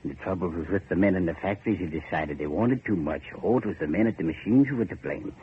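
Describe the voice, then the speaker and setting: A man's voice: deep voice, fast talker. An actor playing a grim, cryptic older boatman in old radio horror style, speaking in folksy, clipped phrases. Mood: intense, bitter. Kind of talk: 1940s radio horror acting. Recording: noisy muffled old radio recording.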